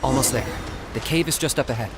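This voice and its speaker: A man voicing a calm, confident young warrior in a video game, terse and matter-of-fact. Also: mid-range voice, fast, heavy background noise, lightly acted. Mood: steady.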